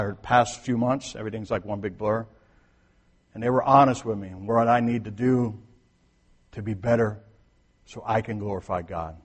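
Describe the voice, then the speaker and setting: A man preaching a sermon to his congregation, talking casually, then soft, then emphatic. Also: on the low side, breathy edge. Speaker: pastor. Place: church sanctuary. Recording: long hall reverb.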